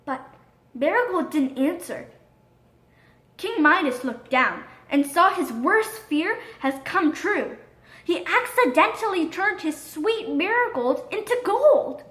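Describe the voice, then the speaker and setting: High-pitched, animated woman storyteller. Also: slow pace. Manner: expressive, dramatic read-aloud delivery. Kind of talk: scripted children's storybook narration. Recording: roomy echo.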